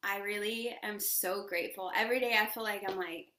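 Bright, effusive woman in a roomy space. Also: medium pitch, steady tone, a little fast. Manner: effusive, laughs often, spiritual talk. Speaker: yoga teacher and podcast guest. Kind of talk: casual interview chat. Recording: roomy echo.

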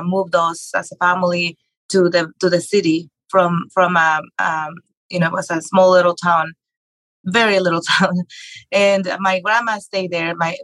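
A woman's voice: on the low side, a bit bright, no room sound, rough recording. A middle-aged woman from Mexico, a real estate investor and author, telling her story as a podcast guest with many fillers and restarts. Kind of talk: conversational interview.

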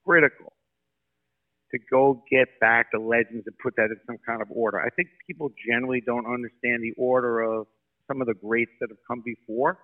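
A man from Brooklyn, a guest in a podcast interview, telling brisk, animated stories. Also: mid-range voice. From Brooklyn, New York. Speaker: sports marketing guest. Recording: tinny phone line.

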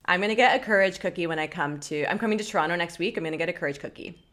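Effusive woman co-host, slightly low voice. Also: very fast, a bit bright. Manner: effusive, informal. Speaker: podcast co-host, interviewer. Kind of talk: casual chat.

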